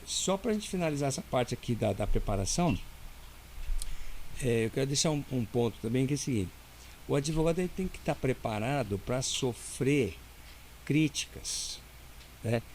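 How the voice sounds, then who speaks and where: Brazilian lawyer explaining things patiently. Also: male voice, mid-range voice, animated, moderate pace, long pauses, darkish tone, a little hiss or hum. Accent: Brazilian Portuguese. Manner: didactic, explains step by step with many "né?". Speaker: lawyer guest. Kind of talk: casual interview talk.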